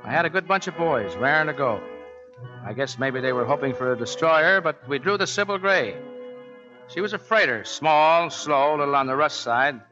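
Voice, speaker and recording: A man with an American accent playing a gruff, blunt Navy gunner's mate in a 1940s radio drama. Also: on the high side, lively intonation, normal speed, close to an everyday voice. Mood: somber narration. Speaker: actor playing lead and narrator. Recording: dull 1940s radio recording with music.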